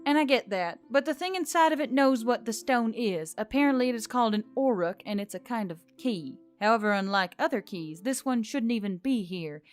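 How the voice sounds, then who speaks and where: A sharp-witted, stubborn woman adventurer , played by a female reader, pushes for answers. She is blunt and sarcastic. The fantasy audiobook has music running beneath the voice. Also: fairly high pitch, fairly quick, few pauses, natural voice. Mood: serious. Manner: flat, probing, sarcastic.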